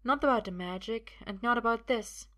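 Woman putting on a quiet, sinister young sorceress, pitched up. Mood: coolly menacing. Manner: calm, deliberate.

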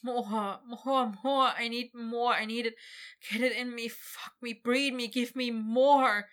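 Woman doing a desperate young guy, all breathless and stammery, pitched up, bright. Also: fairly even intonation, takes it slowly. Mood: pleading.

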